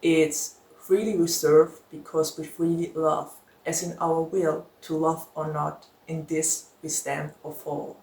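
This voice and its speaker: A woman's voice: low-pitched, level tone, takes it slowly, creaky, darkish tone. A woman whose first language is German, chatting casually to her viewers in a Q&A vlog, frank and laughing often. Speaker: book YouTuber. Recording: echoey room.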